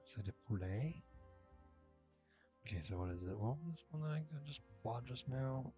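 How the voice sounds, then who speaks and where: A man's voice: medium pitch, lively intonation, normal speed, dark tone, muffled recording. A man doing casual let's play commentary, reading game text aloud and muttering to himself in short fragments. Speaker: gameplay streamer. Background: game music.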